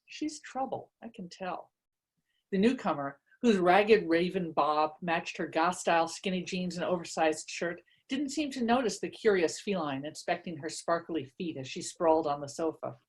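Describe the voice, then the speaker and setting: Chatty, self-deprecating woman author. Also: fairly low pitch. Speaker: author presenting and reading from their own book. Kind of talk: casual book talk and read-aloud.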